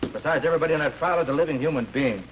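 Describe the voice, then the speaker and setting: An actor playing a skeptical, exasperated but protective police chief in an old radio thriller, speaking bluntly. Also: male voice, fairly high pitch, very fast, noisy background, close to an everyday voice. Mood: firm, exasperated. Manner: blunt, reasoning. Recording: dull old radio recording.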